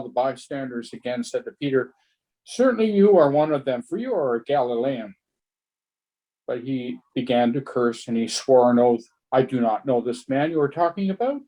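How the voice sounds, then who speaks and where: A man's voice: mid-range voice, warm tone, poor audio. A man reading scripture aloud as a church member, narrating from a text.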